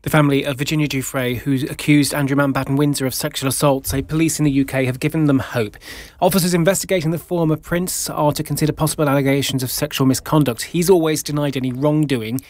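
A man reading a radio news bulletin in a measured, formal way. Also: a little high, steady tone, darkish tone, dry recording. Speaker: newsreader.

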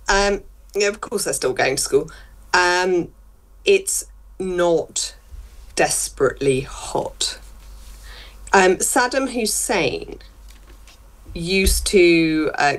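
A woman guest giving firm, opinionated commentary. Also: lowish voice. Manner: crisp, opinionated, brisk.

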